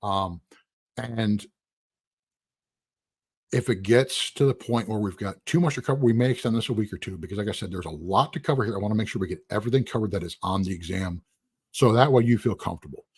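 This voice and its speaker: A husky man, losing his voice, teaching a casual live-streamed lecture in a rambling, conversational way with asides. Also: lowish voice, fairly quick, no room sound. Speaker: instructor.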